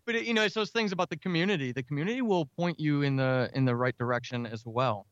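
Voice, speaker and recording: Energetic, higher-voiced man in casual interview. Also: fast talker, no echo, dull audio. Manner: energetic, talkative, self-described extrovert. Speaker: audio drama writer and podcaster, interview guest.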